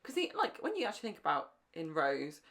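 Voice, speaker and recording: A British woman with a notably low voice co-hosts a podcast. Her delivery is informal fan chat, agreeable and conversational. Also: wildly swooping pitch, fast, a bit bright. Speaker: podcast co-host.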